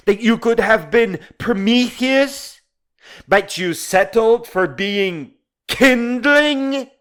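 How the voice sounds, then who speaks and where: A man's voice: very high voice, lively intonation, slow, a bit bright, clean tone. A man delivering a dramatized speech in a grandiose, solemn, theatrical manner. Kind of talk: dramatized oratory and scripted monologue. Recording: music behind the voice.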